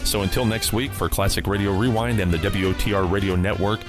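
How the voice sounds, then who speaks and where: A man's voice: on the low side, steady tone, fairly quick, music in the background. A man hosting a radio program with scripted, promotional narration. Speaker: podcast and radio program host.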